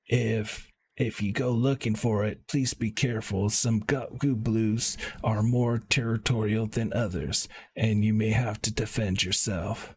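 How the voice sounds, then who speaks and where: A man voicing a curious, sincere villager from a video game, reading the dialogue aloud with halting stumbles. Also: lowish voice, steady tone, darkish tone, breathy edge. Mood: earnest. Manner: halting, stumbling over words.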